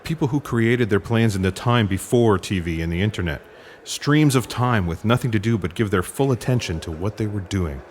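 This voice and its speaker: Man playing a low-voiced dry agent. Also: animated, normal speed, a little hiss or hum, close to an everyday voice. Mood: dry, patient. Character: dry, patient male intelligence agent. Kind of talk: comic sci-fi audio theatre acting.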